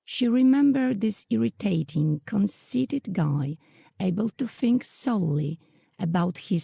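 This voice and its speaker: A woman's voice: medium pitch, vocal fry, warm tone, slightly rough, no echo, studio quality. A woman narrating an audio drama from a script, telling the story in the third person.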